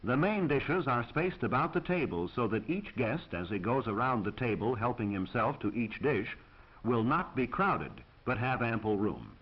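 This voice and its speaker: Formal american guy narrating an old 1940s how-to film, lively pitch, slightly husky, muffled soundtrack. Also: normal speed. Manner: formal, precise, instructive.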